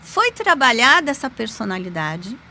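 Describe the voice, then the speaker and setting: A woman, a podcast guest, telling a personal story in an animated, emphatic way with sudden pitch jumps. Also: normal speed, slight background noise. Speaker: podcast guest, lawyer and magic teacher. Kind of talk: conversational storytelling.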